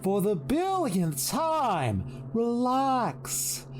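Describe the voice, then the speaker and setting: A woman's voice: a little high, sing-song, very slow, darkish tone, faint music behind, heavily acted. A woman playing a vain, flippant spirit of pleasure in an audio drama, with a smug theatrical drawl. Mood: smug, dismissive.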